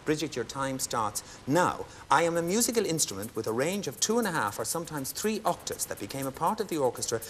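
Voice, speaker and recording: A man hosting a television quiz show, announcing clues and scores in a crisp, formal way and lifting into cheers for winners. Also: a little high, sing-song, a little fast, hardly any pauses, fairly bright tone. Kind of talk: brisk game-show hosting. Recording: studio audience and background noise.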